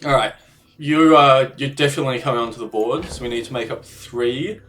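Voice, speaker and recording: A man, slightly croaky from a cold, commentating his own gameplay and thinking aloud about strategy in a self-deprecating way. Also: medium pitch, a little fast, fairly bright tone, far from the mic, a little hiss or hum. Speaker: gaming channel host.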